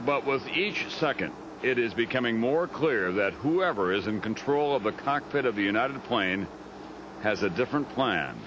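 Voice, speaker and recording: An American man narrates a news documentary from a script. His voice sits at an average male pitch, and there is clear noise and ambience behind him. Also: expressive, normal speed, lo-fi sound.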